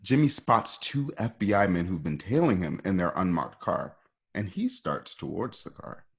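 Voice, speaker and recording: Husky low-voiced male narrator. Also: big pitch swings, moderate pace. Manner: conversational, ad-libbing. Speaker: narrator reading stage directions. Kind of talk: informal narration of a radio play.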